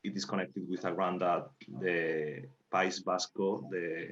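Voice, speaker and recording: A man with a Spanish accent talking on a panel in halting English, searching for words. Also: low-pitched, fairly even intonation, fairly bright tone, dull audio. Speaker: playwright, panel guest. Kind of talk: unscripted panel talk.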